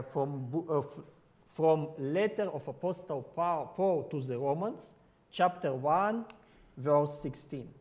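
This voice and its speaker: Man preaching in halting English. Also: on the high side, a little mellow. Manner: halting non-native English with many restarts. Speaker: ministry worker. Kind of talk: sermon.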